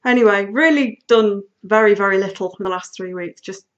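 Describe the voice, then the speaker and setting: A woman's voice: mid-range voice, brisk. Casual woman host, sniffly with a cold, rambling chat, slightly muffled home audio. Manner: chatty, rambling, with frequent ums and laughs. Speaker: podcast host. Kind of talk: casual knitting video podcast chat.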